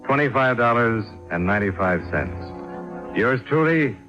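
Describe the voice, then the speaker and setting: An actor playing a brisk, dry investigator reading out his expense account as old radio detective narration. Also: mid-range voice, animated, sharp tone, faint music behind. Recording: dull old radio recording.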